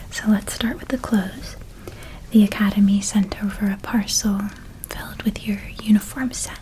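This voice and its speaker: A woman playing a gentle, nurturing witch in a whispered ASMR roleplay, speaking in a soft, breathy, doting manner and lingering over details. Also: medium pitch, natural voice, rough recording. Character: gentle, nurturing witch helping a young student pack.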